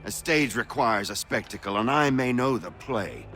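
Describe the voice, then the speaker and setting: A man acts out a colonial American politician in a historical video game. He is fervent and persuasive, speaking in formal period rhetoric at a medium pitch. Music plays clearly behind him. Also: lively intonation, moderate pace, lightly acted. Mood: rallying, scheming. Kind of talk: dramatic game acting in period speech.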